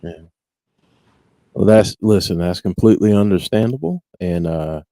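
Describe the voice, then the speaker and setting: A man's voice: deep voice, normal speed, warm tone, no echo. A man hosting a podcast in an easygoing casual chat, with frequent fillers. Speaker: podcast host.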